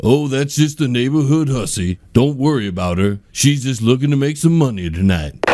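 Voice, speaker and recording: Man acting a cocky, grouchy uncle, animated delivery. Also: medium pitch, normal speed, very noisy, close to an everyday voice. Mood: grumpy. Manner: brash, grumbling, swaggering. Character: cocky, grouchy older street-tough beer-loving badass uncle. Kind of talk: comedy audio drama. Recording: loud music behind.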